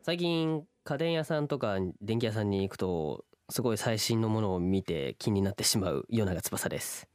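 A man's voice: fairly low pitch, animated, moderate pace, few pauses, a little mellow. A man who works as a voice actor, chatting casually as a radio co-host. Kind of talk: casual banter.

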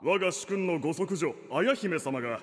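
A man's voice: fairly high pitch, animated, normal speed, long reverb tail, natural voice. A man playing an earnest middle-aged samurai retainer who speaks solemnly in archaic period-drama style. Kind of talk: comedic period radio drama acting.

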